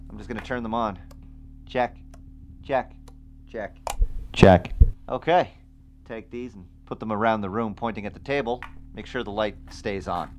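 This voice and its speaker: An actor playing a polite, eager paranormal investigator in audio drama dialogue. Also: male voice, on the low side, normal speed, long pauses, faint music behind, natural voice. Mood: businesslike.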